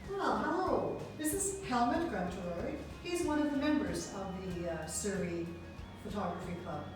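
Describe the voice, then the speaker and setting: A woman's voice: medium pitch, lively intonation, moderate pace. Enthusiastic woman host interviewing, distant echoey mic with music behind. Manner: chatty, enthusiastic. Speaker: interviewer and producer. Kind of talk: casual interview.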